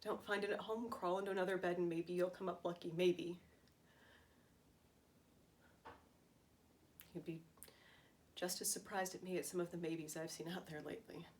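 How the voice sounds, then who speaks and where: A woman's voice: lowish voice, flat delivery, a little fast, darkish tone, slight background noise, close to an everyday voice. A woman acting a dramatic stage monologue as a wounded, defiant wife pleading fiercely with her husband, emphatic and emotionally raw. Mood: bitter, resolute.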